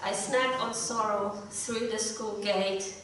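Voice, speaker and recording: A middle-aged woman with a slightly croaky voice, reading her poetry in a measured, deliberate way at a live event. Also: medium pitch, fairly even intonation, fairly bright tone, slight background noise. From Hungary. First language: Hungarian. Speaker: poet. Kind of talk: live poetry reading with short spoken introductions. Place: indoor live event room. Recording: echoey room, distant mic.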